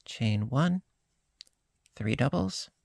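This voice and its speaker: Slow, gravelly, slightly hoarse crochet teacher. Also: mid-range voice, dry recording, studio quality. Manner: calm, unhurried, talks through each stitch. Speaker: crochet instructor. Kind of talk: step-by-step crochet tutorial.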